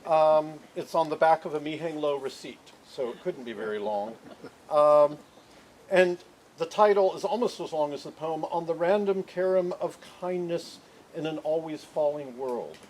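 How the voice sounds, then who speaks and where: A man with a loud voice giving a halting, wry eulogy at a memorial, full of ums as he reads a short poem. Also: a little high, slight background noise, rough recording. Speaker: memorial speaker.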